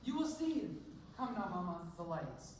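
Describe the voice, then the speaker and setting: A man's voice: high-pitched, big pitch swings, normal speed. Guy playing hopeful firm dad in a stage drama, far from mic, super echoey. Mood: firm, reassuring. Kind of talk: stage play acting. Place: stage in a large hall. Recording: echoey hall from far off, faint noise.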